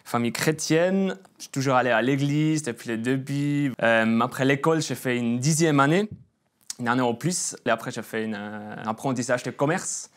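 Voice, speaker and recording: A man's voice: mid-range voice, moderate pace. A man in his twenties from Switzerland with a Swiss German accent and a Swiss German mother tongue, giving a faith testimony in an interview, hesitant, with many fillers and restarts. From Emmental, Bern, Switzerland. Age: 20s.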